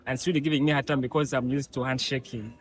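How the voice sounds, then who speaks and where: Halting man from eastern Uganda. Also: mid-range voice, steady tone, faint music behind. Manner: hesitant, halting, many fillers. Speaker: student interviewee. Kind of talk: casual on-camera answers.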